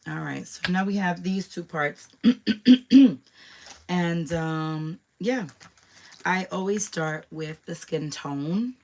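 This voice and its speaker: Raspy young woman, low voice, unhurried; chatty craft-tutorial host, faint hiss behind. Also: animated, a little mellow. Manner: chatty, with many ums and asides. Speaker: craft demonstrator.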